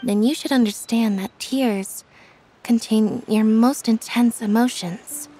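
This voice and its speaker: A woman playing a composed, thoughtful young female magician's assistant in a video game, speaking in a measured, matter-of-fact way. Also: fairly high pitch, dark tone, soft background music. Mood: serious, explanatory.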